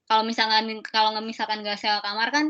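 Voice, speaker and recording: A woman's voice: a little high, level tone, fast talker, pushed and bright. A young woman hosting a student Q&A in casual chat, explaining things with lots of fillers over a video call. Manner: chatty, explaining with many fillers. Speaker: student event host. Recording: dull lo-fi video call audio.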